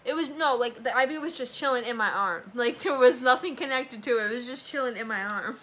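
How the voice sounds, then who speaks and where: A woman's voice: on the high side, a little fast, a bit bright. A young woman telling a personal story in a casual vlog chat. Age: young adult. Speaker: vlogger, new mother.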